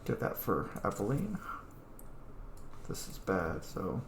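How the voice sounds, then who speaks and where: A man's voice: lowish voice, wildly swooping pitch, takes it slowly, vocal fry. A man giving casual gameplay commentary as a streamer, often muttering to himself. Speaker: game streamer. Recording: roomy, noisy with game sounds. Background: video game ambience.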